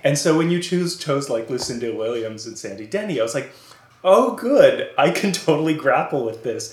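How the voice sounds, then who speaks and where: A man's voice: mid-range voice, animated, moderate pace. A man from California hosting a podcast, talking casually, rambling and hesitant with a self-deprecating streak. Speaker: podcast host. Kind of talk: casual conversation. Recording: roomy echo.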